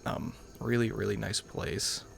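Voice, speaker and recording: Gravelly young American man gaming. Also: lowish voice, steady tone, a little slow, a bit bright, a little hiss or hum. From New York, United States. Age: young adult. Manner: rambling, self-qualifying. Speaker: gaming channel host. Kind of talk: casual let's-play commentary.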